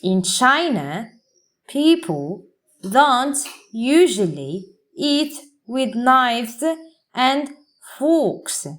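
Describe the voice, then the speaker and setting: A woman English teacher reading a practice passage aloud for learners, enunciating deliberately word by word. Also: a little high, very animated, a bit bright.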